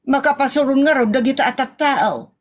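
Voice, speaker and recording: A woman's voice: high voice, a little fast, close to an everyday voice. A woman with an Ilocano accent playing a grumbling, fault-finding villager in a comedy audio drama, colloquial and complaining.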